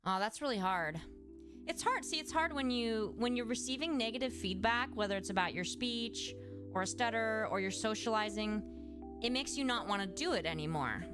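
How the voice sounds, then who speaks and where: A woman's voice: a little high, expressive, normal speed. A young adult woman, a speech-language pathologist, chatting casually on a livestream and answering viewers, with lots of "like" fillers and restarts. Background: background music.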